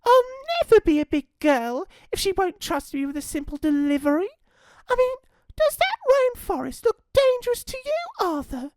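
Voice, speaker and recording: A storybook girl of seven, bright-eyed and stubborn, whining to be trusted. Her lines leap far above the reader's own pitch and swoop all over, close and dry to the mic. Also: very animated, moderate pace, dry recording. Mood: grumbling. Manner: whiny, insistent. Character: eager, stubborn seven-year-old girl.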